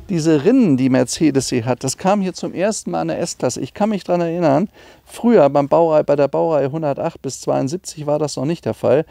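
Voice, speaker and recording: A man's voice: fairly high pitch, vocal fry, warm tone, no echo. A middle-aged man giving an informal, enthusiastic car walkaround as a classic car dealer, explaining details conversationally.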